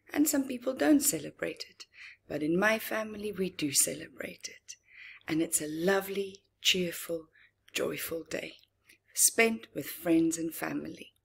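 A middle-aged woman reading a story aloud to young children, expressively, with dramatic pauses and chatty asides. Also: fairly low pitch, lively intonation, gravelly, slightly rough. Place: living room, indoors.

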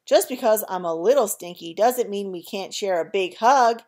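A woman's voice: mid-range voice, normal speed, a little mellow, close to an everyday voice. A woman voicing a big, friendly, sleepy bear in a storybook read-aloud.

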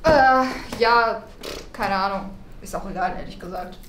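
A young woman playing a gloomy teenage schoolgirl in an improvised web series, halting and matter-of-fact. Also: mid-range voice, a bit bright, slight background noise, natural voice. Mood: indifferent, tired. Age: young adult. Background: background music.

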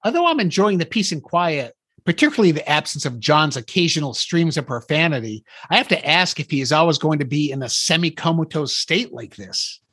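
A man's voice: a little high, lively intonation, brisk, bright, forceful tone, breathy edge, no room sound. A man hosting a podcast, delivering scripted fishing tips within a comic skit. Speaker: podcast host.

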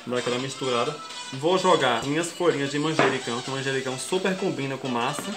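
A man's voice: medium pitch, quick pace. Chatty Brazilian man cooking in an echoey kitchen. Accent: Brazilian Portuguese. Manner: chatty, explains each step and keeps addressing viewers as folks. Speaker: cooking show host. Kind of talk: casual cooking tutorial. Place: home kitchen, indoors. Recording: echoey kitchen with background music.

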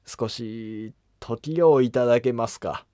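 A man's voice: mid-range voice, takes it slowly, warm tone, dry recording, studio quality, natural voice. A man voicing a deferential, hesitant imperial prince who speaks formally and humbly in a historical drama animation. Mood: hesitant, surprised.

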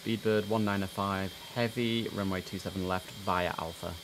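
Low-voiced man calling air traffic. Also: steady tone, moderate pace, fairly bright tone. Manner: crisp controller calls mixed with chatty asides. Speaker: streamer playing air traffic controller. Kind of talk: gameplay commentary with radio phraseology. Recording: noisy game stream audio.

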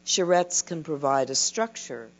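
An older woman giving a keynote lecture from a podium, reading a prepared talk in a slow, measured way. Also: deep voice, animated. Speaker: keynote speaker. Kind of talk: scripted conference lecture. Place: dim lecture hall at a podium. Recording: dull lo-fi recording with mains hum.

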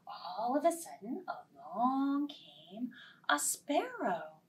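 Slow, expressive woman storyteller, slightly distant. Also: high voice. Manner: slow, expressive, with hushed phrases. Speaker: children's library storytime host. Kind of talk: animated storytelling for young children. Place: small indoor storytime set.

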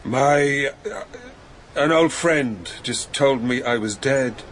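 A man's voice: medium pitch, slow, a bit bright. An actor playing a lonely, troubled dead man who narrates in a brooding, literary manner in a radio drama. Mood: unsettled.

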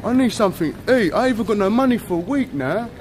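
A man's voice: high voice, expressive, quick pace, darkish tone. A young man streaming live on a city street, joking and chatting with strangers on a handheld camera. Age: young adult. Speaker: IRL streamer. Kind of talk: casual street livestream banter. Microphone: handheld camera at arm's length. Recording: outdoor street noise.

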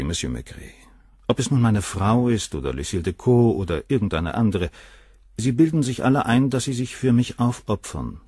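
An actor playing a cool, arrogant, middle-aged surgeon who talks down about the women around him, in a radio play. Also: male voice, fairly low pitch, warm tone, slightly rough, no room sound, clean studio sound. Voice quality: cool, cynical, dismissive.

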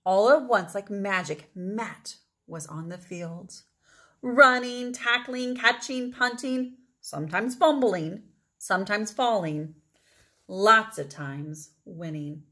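A middle-aged woman reading a children's picture book aloud expressively, with deliberate phrasing and emphatic pauses. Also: medium pitch, sharp tone. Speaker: read-aloud storyteller.

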